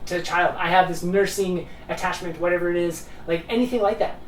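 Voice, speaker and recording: A man's voice: sits high, steady tone, a little fast. A man talking casually and off the cuff about ideas, rambling and repeating words for emphasis. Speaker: host of a discussion video. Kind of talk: casual unscripted philosophical talk. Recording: roomy, distant mic with faint noise.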